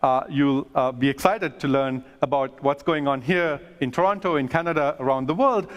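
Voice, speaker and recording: A man who grew up in Sri Lanka, an astronomer speaking earnestly at a conference, pausing with fillers and restarts in the Q&A. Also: medium pitch. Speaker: astronomer giving a talk. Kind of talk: stage talk then casual Q&A. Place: conference stage. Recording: long hall reverb.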